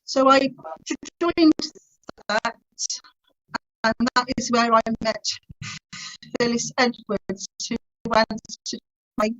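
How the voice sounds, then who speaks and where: Woman in her 50s who stammers, talks real slow, dull muffled call sound. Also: a little high, a bit bright. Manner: stammers, with frequent repeated sounds and blocks. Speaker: conference speaker. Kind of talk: personal talk at an online conference. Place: at home on a video call.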